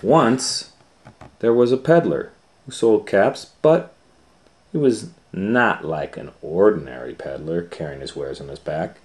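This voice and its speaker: Male storytime host, low register, unhurried pace, chatty read-aloud for young children. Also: expressive. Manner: conversational asides and questions to listeners.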